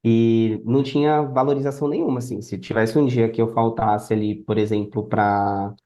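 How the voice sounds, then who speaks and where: A man's voice: fairly low pitch, steady tone, normal speed, mellow. A Brazilian man telling his own story casually as a guest on a podcast. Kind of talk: casual personal storytelling in conversation.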